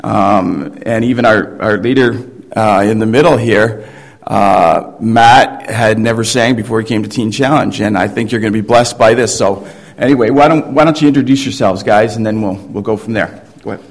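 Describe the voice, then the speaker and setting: A man addresses a church congregation in a relaxed, chatty way, often circling back and restarting his sentences. His voice sits a little low, and he talks like he is among friends. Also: normal speed, creaky. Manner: loose, chatty, with frequent fillers and restarts. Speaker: speaker introducing a group. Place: church service.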